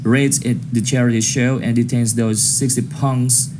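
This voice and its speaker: A man in his thirties speaks with an Indonesian accent at an average pitch. He gives an informal introduction to songs, like someone from inside the scene. Clear background noise runs behind his voice. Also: level tone, unhurried. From Aceh, Indonesia. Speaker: punk scene member introducing compilation songs. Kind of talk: informal spoken introduction to music.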